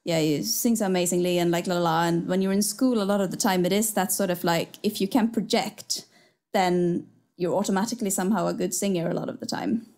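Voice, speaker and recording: A young woman whose first language is Swedish, talking in a fairly low voice. She chats loosely over a video call from a small room at home, rambling with plenty of fillers. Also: brisk. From Sweden. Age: young adult. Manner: relaxed, rambling with many fillers. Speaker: podcast co-host, singer. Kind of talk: casual chat. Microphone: headphones with studio mic on video call.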